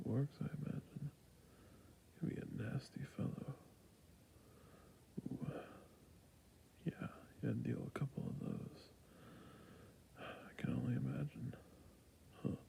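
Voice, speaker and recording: A man playing a friendly, humble fantasy merchant in a soft-spoken, soothing ASMR roleplay, with a breathy, gravelly murmur. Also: medium pitch, a little hiss or hum, exaggerated voice, poor audio. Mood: welcoming, curious. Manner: soft-spoken, half-whispered, soothing shop patter. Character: friendly humble male fantasy shopkeeper, obliging merchant and fletcher.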